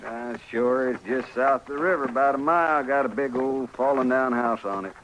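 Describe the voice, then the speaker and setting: An actor playing a folksy, plain-spoken frontier stable keeper in an old radio western, drawling in a rustic American accent. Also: male voice, medium pitch, moderate pace, fairly bright tone, muffled recording, natural voice. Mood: plain, practical. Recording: noisy old radio recording.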